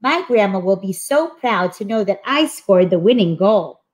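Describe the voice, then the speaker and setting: A woman voicing friendly, eager schoolchildren from a comic book in an expressive, earnest read-aloud. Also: medium pitch, moderate pace, close to an everyday voice. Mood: grateful, earnest. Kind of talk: animated storybook read-aloud.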